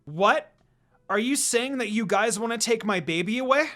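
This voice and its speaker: A man's voice: very high voice, animated, moderate pace, bright, forceful tone, faint music behind, pitched above the performer's own voice, full character voice. A man voicing a defiant young pregnant priestess who is fiercely protective, in a fan fiction story reading. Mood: defiant, outraged.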